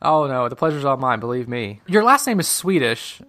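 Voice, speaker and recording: A man's voice: mid-range voice, big pitch swings, normal speed, a bit bright. An American man hosting a podcast, introducing and casually interviewing a guest.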